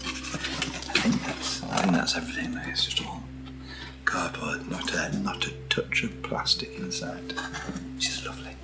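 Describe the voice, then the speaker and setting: A British man speaks very softly in a deep voice, often slipping toward a whisper. He takes his time as he chats in an unscripted ASMR style, murmuring gently playful asides. Music plays clearly behind him. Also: steady tone, a little slow. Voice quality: soft, breathy, often near whisper. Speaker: ASMR product reviewer. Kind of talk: soft-spoken ASMR, unscripted unboxing chat.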